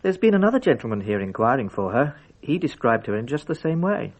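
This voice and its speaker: A husky male voice plays a courteous hotel clerk in a radio play. The pitch sits in the middle and moves around a great deal, with a polite, descriptive manner. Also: very animated, a little fast, low-quality recording. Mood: polite, helpful. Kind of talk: radio drama acting.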